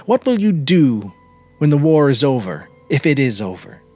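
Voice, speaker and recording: Man acting as proud Italian major, bitter temper, formal and emphatic, wide swings in pitch, storybook character, faint music behind. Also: sing-song, normal speed, a little mellow. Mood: angry, bitter. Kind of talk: audiobook character reading.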